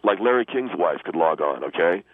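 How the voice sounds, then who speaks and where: Man acting vain young beach hunk, low voice, boastful and earnest, hesitant, radio call-in comedy, dull lo-fi sound. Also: expressive, a little fast, no echo. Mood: self-satisfied. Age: young adult.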